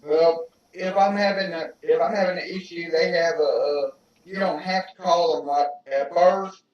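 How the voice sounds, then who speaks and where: A man explaining web hosting in casual chat on a video call, halting and repeating words as he goes. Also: fairly high pitch, fairly even intonation, darkish tone. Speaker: tech-savvy participant on a video call. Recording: echoey, distant, dull call audio.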